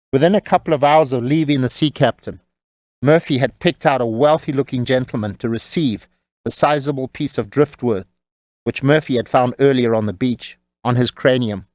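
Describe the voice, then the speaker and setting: A man's voice: medium pitch, a little mellow, no echo, clean studio sound. A man narrating a scripted comic tale as a wry, tongue-in-cheek storyteller.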